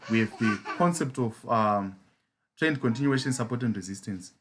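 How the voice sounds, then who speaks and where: A man's voice: fairly low pitch, pushed and bright, a little hiss or hum. A man from Zimbabwe with a Zimbabwean English accent, a trading mentor who explains chart patterns in a live lesson and keeps asking rhetorical "as a what?" questions.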